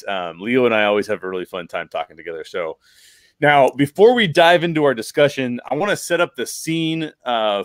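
Middle-aged American podcast host, dry close sound. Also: male voice, mid-range voice, fairly bright tone, dry recording. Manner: enthusiastic, informal. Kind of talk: conversational podcast introduction and film discussion. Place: bedroom at home. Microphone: close podcast microphone with headphones.

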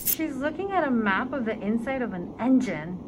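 A man's voice: very high voice, lively intonation, normal speed, background noise, pitched above the performer's own voice. A man voicing schoolchildren who make wry, worried side comments in a picture book.

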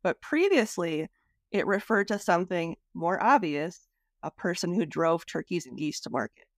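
A woman's voice: on the low side, animated, normal speed, dry recording, studio quality. A woman hosting a podcast in a casual, chatty style. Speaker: podcast host. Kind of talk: casual chat.

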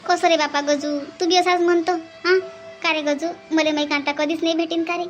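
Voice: extremely high pitch, little pitch movement, brisk, faint music behind. A performer voicing a grieving old village mother in a cartoon, plaintive and repetitive, with a rural Vidarbha Marathi accent. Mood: sorrowful longing. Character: grieving old village mother longing for her lost daughter. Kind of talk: melodramatic cartoon dialogue.

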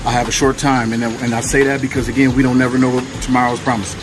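A man's voice: mid-range voice, little pitch movement, fast, background noise. A man talking in a casual, slangy, hyped-up way in a fitness vlog, with some swearing. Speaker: fitness vlogger. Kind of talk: casual motivational vlog talk. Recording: music playing behind.

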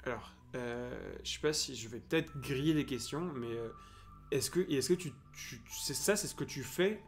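A man's voice: medium pitch, a little mellow. A man in his thirties hosting a casual live-stream interview over a headset, hesitant with many restarts. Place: home room, indoors.

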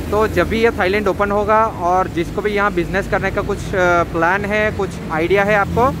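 A man from India giving a casual walking-tour commentary outdoors on city streets, chatty and often checking in with "right?". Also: sits high. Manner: chatty, keeps asking "right?". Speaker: travel vlogger. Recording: street traffic noise behind.

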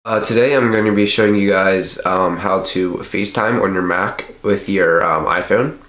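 A man's voice: deep voice, normal speed, a bit bright. A young man with a stuffed-up nose from a cold, walking through a tech how-to in a loose, chatty way full of filler sounds, recorded indoors at home on a nearby camera. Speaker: tech tutorial host. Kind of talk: casual step-by-step how-to.

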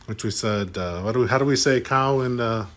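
A man's voice: medium pitch, a little fast, a bit bright, slight background noise. A middle-aged man hosting a podcast in an office meeting room, talking into a desk mic in an upbeat, teasing way. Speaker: podcast host. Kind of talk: lively on-site podcast chat.

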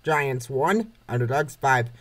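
A man's voice: medium pitch, animated, slow pace. A man reading scripted play-by-play narration of a comic baseball game. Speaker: narrator of a comic dub series.

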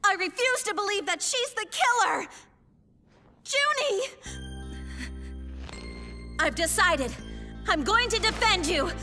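A woman's voice: very high voice, animated, slow pace, pauses often, sharp tone, full character voice. A woman voicing an earnest, emotional young heroine in an anime game dub, dramatic and heartfelt. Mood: fiercely determined. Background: music.

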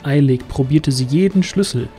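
A man's voice: fairly high pitch, moderate pace, warm tone. A man reading scripted narration as the storyteller of a fantasy audio drama. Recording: music underneath.

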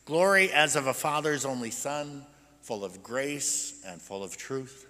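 Bright-voiced older American pastor in reverberant church. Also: male voice, mid-range voice, measured pace. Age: 60s. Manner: animated, asks rhetorical questions. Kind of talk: sermon, conversational and explanatory. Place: indoors at a church pulpit. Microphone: gooseneck pulpit microphone.

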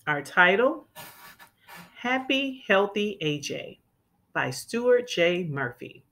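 A woman reading a children's storybook aloud as a teacher would, expressively and with clearly enunciated words for young listeners. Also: mid-range voice, very animated, slow pace, fairly bright tone, a little hiss or hum.

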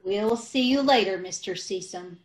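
An actress playing a scatterbrained, guileless, daffy wife in an old-time radio comedy, recorded over a video call. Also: female voice, mid-range voice, normal speed, loud music behind the voice, natural voice, rough recording. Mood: innocent, breezy. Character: scatterbrained, guileless wife who misunderstands everything.

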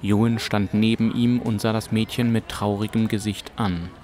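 A man's voice: on the low side, flat delivery, normal speed, a little mellow. A man narrating a scripted fantasy audiobook as a storyteller. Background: music and ambience.

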